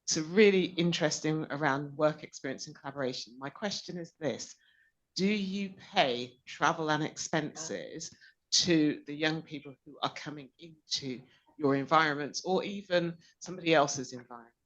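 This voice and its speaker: A woman in the audience with a loud voice, cheerfully asking the panel a question. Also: sits low, normal speed, poor audio.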